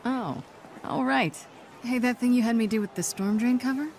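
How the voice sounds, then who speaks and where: An actress playing a cool, brisk spy superhero in a video game, dry and casual in manner. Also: female voice, fairly high pitch, a little mellow, a little hiss or hum, close to an everyday voice. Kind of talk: cinematic video game acting.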